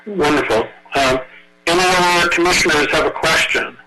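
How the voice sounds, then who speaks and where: A man from Brooklyn, New York, chairing a public meeting over a video call, speaking haltingly with many fillers. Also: a little high, heavy background noise. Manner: halting, many filler words, effusively thankful. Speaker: commission chair.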